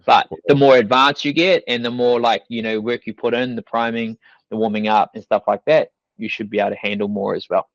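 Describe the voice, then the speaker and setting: A man's voice: mid-range voice, little pitch movement, a little fast, no echo. A young man with a New Zealand accent, a fitness coach chatting as a podcast guest, rambling loosely and leaning on fillers like "you know" and "like". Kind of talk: casual podcast interview. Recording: dull dry studio sound.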